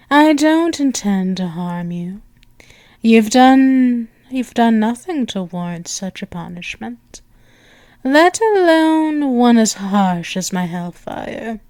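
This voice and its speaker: A woman playing an imperious demon princess in an intimate ASMR roleplay, teasing and tender, with regal pauses and breathy murmurs. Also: medium pitch, a little mellow, no room sound. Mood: teasing, reassuring. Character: imperious, seductive demon princess, amused and tender toward servant. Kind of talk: ASMR roleplay, intimate one-sided dialogue.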